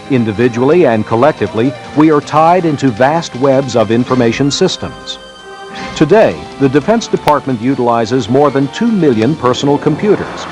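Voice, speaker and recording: A man narrates a military training film in a formal, scripted documentary style, with measured, emphatic phrasing. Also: medium pitch, a little mellow. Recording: 1990s training film soundtrack. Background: background music.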